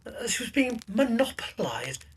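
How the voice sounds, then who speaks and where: A woman's voice: on the high side, big pitch swings, unhurried, slight background noise. A woman playing a fussy, anxious Baptist minister in a period audio drama, hesitant and polite. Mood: worried, confiding. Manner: hesitant, dithering, polite, murmuring little ums.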